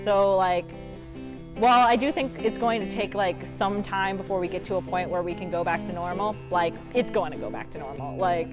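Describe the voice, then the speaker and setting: A woman's voice: lowish voice, normal speed. A young woman talking in a casual, colloquial, reassuring way. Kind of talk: casual chat to camera. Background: guitar music.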